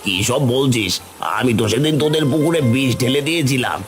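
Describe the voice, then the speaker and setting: A man's voice: mid-range voice, a little fast, over loud music, close to an everyday voice. A man voicing a nosy, gossiping village henchman in a cartoon folk tale, with a theatrical, pestering manner. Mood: demanding. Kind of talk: animated Bengali folk-tale cartoon dialogue.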